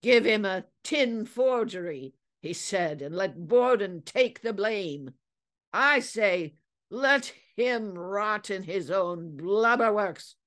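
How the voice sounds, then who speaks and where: A wild, ragged old sailor , as a woman narrator puts him on, pitched well up and creaky. He rants and snarls in salty whaling talk, a frantic storybook character with a shrill edge. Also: expressive, slow pace, fairly bright tone. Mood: ranting, vengeful. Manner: salty nautical ranting, shrieking and snarling. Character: crazed, ragged old whaler, a bearded male escaped captive.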